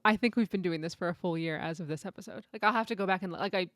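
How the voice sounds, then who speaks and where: A woman's voice: fairly low pitch, racing, a bit bright, dry recording. Chatty woman podcaster, dry close sound. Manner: chatty, laughs often. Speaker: podcast co-host. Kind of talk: casual book chat.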